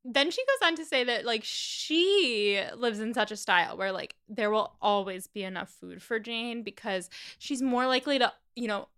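Woman co-host, bright and forceful, chatty plot recap. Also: a little high, expressive, moderate pace, hardly any pauses, smooth. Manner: chatty, retells scenes with lots of "like" and quoted asides. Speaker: podcast co-host. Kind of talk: casual chat retelling a novel's plot.

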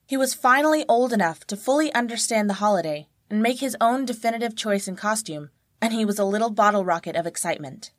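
A woman's voice: a little high, expressive, quick pace, few pauses, dry recording. A woman reading a scripted story as a guest narrator, close to the mic.

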